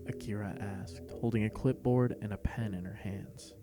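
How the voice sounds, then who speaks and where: A man's voice: lowish voice, steady tone, normal speed, music in the background. A man reading scripted fan fiction as the narrator of an audio story.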